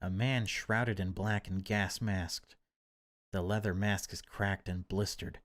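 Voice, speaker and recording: Guy with a lowish voice reading a creepy horror game out loud, deliberate phrasing, pretty dry sound. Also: steady tone, dry recording. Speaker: gaming video creator reading a visual novel aloud. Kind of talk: dramatic narration of horror game text.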